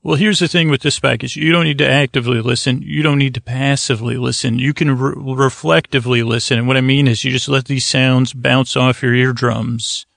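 A tired man rambles through a bedtime podcast in a gravelly, creaky voice. He wanders hesitantly from one half-finished thought to the next with little swing in pitch. The sound is close and dry. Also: steady tone, a little fast, hardly any pauses, fairly bright tone, no echo. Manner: meandering, hesitant, trailing off mid-thought. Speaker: podcast host.

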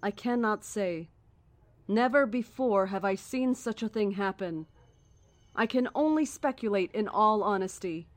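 An actress playing a cryptic, coldly certain cult founder in a horror audio drama, speaking in a measured, formal and deliberate way. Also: female voice, medium pitch, no room sound, natural voice. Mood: calm, knowing.

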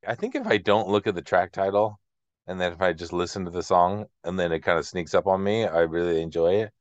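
Guy with a really low voice chatting casually, hesitant, lots of likes, dry room. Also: fairly even intonation, fairly quick, dry recording, muffled recording. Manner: hesitant, repeats filler "like", reflective. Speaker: co-host of a music discussion show. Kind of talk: casual chat.

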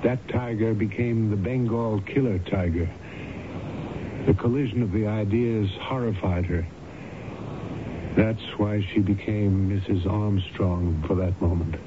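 Actor as a remorseful doctor, lowish voice, noisy radio. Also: male voice, steady tone, a little slow, close to an everyday voice. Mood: guilt-stricken. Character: earnest, remorseful doctor who practices hypnosis. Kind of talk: old-time radio drama acting. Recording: muffled, noisy old radio broadcast.

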